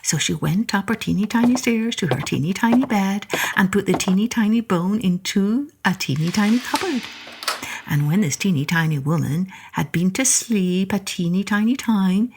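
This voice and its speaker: A woman narrating a children's story in an expressive, deliberate storyteller's manner. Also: mid-range voice, noisy background. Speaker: storyteller, podcast host.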